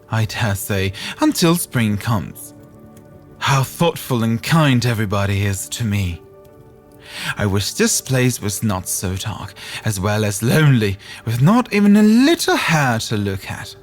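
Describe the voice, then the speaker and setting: Wistful young talking tree, played by a man, earnest, hesitant, musing, animated pitch, storybook style. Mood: wistful, nostalgic. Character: wistful, lonely, naive young fir tree.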